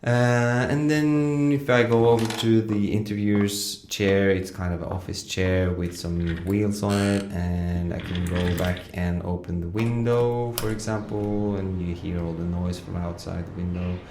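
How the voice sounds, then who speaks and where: A man explaining his sound design work in a casual, halting interview style with frequent hesitations. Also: sits low, fairly even intonation, a little mellow, a little hiss or hum. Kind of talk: casual behind-the-scenes explanation.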